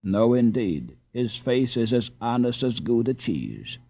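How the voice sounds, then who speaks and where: A man's voice: mid-range voice, very animated, measured pace, vocal fry, warm tone, no room sound, heavily acted. Man reading as a sneering, scornful boy. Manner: disdainful, sneering. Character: scornful, teasing, sleepy Dutch boy. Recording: slightly dull, dry recording.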